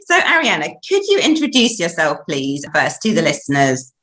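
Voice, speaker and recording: A woman hosting a podcast as an eating disorder therapist, reading a scripted intro and then chatting warmly with a guest, laughing often. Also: low-pitched, huge pitch swings, normal speed.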